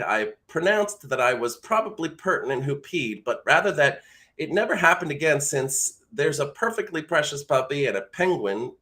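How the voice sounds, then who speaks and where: Male host-coach, mid-range pitch, conversational and supportive, for live teaching and demo reads. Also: sharp tone. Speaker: voice acting coach and livestream host. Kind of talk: casual live teaching with read-aloud demonstrations.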